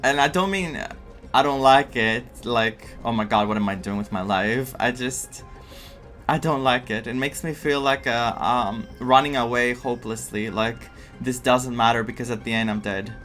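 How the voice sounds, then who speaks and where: A man's voice: medium pitch, normal speed, a bit bright. A man doing casual playthrough commentary as a gaming streamer, reacting with muttered asides and dramatic repetition. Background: game music.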